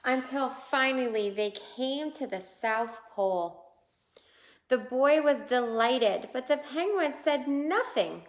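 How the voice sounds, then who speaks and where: A woman with a high voice reads a children's picture book aloud. Her delivery is slow, with big swings in pitch, and she breaks off to point things out to young listeners. The room adds a noticeable echo. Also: animated. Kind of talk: children's read-aloud storytelling. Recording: roomy, echoing indoor sound.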